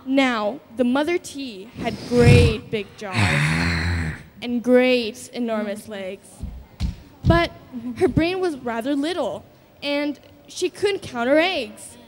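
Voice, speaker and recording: A woman narrating a scripted children's story on stage in a deliberate, dramatic storytelling manner. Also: on the high side, expressive, darkish tone.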